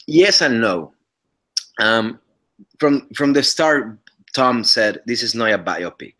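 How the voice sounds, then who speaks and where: A man's voice: mid-range voice, takes it slowly. Mexican-accented man on a video call. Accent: Mexican Spanish. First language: Spanish. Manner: earnest, restarts often, lively gestures. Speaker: actor guest. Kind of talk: casual interview chat over video call. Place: living room at home. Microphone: webcam on a video call.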